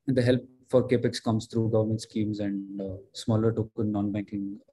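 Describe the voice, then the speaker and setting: A man's voice: fairly low pitch, fairly even intonation, normal speed, gravelly, mellow. A young man answering questions about his startup on a video call through a headset. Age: young adult. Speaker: startup founder answering judges.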